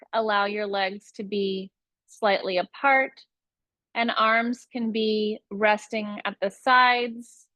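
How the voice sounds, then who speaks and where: A woman teaching a guided yoga nidra meditation, giving soothing, unhurried instructions with long pauses between phrases. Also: mid-range voice, a bit bright, no echo.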